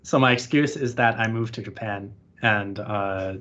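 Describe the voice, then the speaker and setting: A man with a lowish voice talks in a loose, rambling way, peppering his sentences with filler words. His audio comes through a bit muffled and lo-fi. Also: a little slow, a bit bright. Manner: rambling, heavy use of "like" fillers. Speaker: interview guest. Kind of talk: casual podcast conversation.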